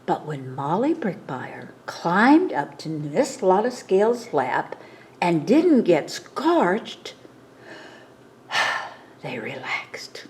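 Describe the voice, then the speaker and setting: Animated, slow-paced woman reading a storybook. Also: lowish voice, a little hiss or hum. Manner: dramatic, animated storytelling for children. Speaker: children's storytime reader. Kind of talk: expressive picture-book read-aloud.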